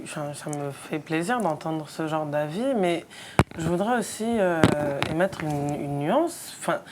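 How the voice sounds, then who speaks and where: A woman's voice: deep voice, a little mellow, slight background noise. A woman guest in a radio studio, speaking thoughtfully and firmly about her views. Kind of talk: panel discussion.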